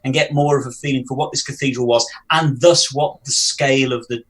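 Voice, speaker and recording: Man, co-host, casual review chat, measured, repeats his first words. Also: mid-range voice, fairly even intonation. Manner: deliberate, stammering repeats. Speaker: podcast co-host.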